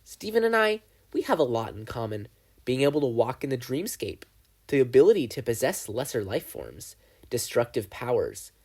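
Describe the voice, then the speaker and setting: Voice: medium pitch, big pitch swings, normal speed, darkish tone, slightly rough. A reader voicing a gleeful, menacing male triangle dream demon who taunts and mocks, in a fan fiction audiobook. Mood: taunting. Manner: taunting, theatrical, mocking.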